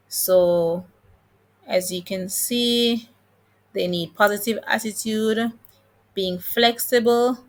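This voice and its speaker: A woman's voice: medium pitch, creaky, fairly bright tone. A woman with a Jamaican accent hosting an informal explainer, talking listeners through details in an instructive, encouraging way. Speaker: video host giving job-hunting advice. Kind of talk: informal explainer, reading listings and commenting.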